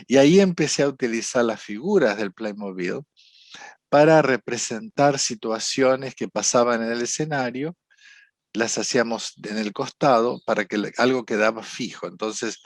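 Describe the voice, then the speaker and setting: A man with an Argentine accent, a psychotherapist telling his story in an interview, reflective and halting, often restarting his sentences. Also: mid-range voice, no echo. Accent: Argentine Spanish. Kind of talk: conversational storytelling.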